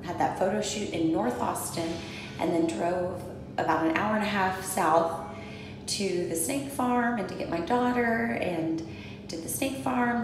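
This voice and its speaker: A woman's voice: mid-range voice, very echoey. A woman in her thirties chatting casually to viewers in a vlog, recorded on a handheld phone. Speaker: fitness coach and vlogger. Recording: clearly noisy phone recording with ambience.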